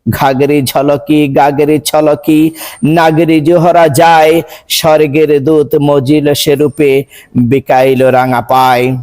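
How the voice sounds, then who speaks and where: A man reciting a poem in a measured, rhythmic, declamatory way. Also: a little high, flat delivery, a little mellow. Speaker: poetry reciter. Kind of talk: dramatic recitation of a Bengali poem.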